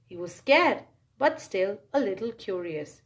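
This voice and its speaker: A woman reads a children's story aloud with expressive, animated narration and dramatic pauses and exclamations. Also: medium pitch.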